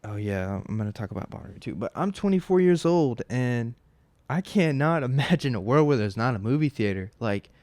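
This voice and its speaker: A man's voice: mid-range voice, animated, brisk, a little mellow, no room sound. A man in his twenties hosting a casual solo podcast from home, rambling and profane, full of fillers and restarts. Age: 20s. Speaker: podcast host. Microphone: close mic at home.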